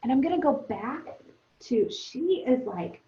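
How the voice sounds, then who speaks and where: A woman teacher thinking aloud for young pupils in a conversational, expressive read-aloud lesson. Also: high voice, unhurried. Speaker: elementary teacher. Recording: roomy, echoing, dull lo-fi sound.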